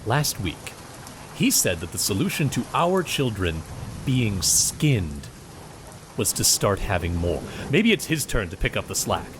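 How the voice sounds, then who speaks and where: An actor playing a defiant leader of a crowd, who talks to authority in a mocking, sardonic and confrontational way. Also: male voice, lowish voice, very animated, measured pace, a little hiss or hum. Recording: rain ambience behind the voice.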